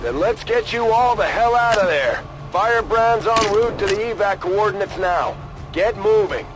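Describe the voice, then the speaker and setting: An actor playing a brisk, earnest military mission officer in a video game, giving urgent, businesslike briefings. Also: male voice, sits high, lightly acted, rough recording. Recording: music under the voice.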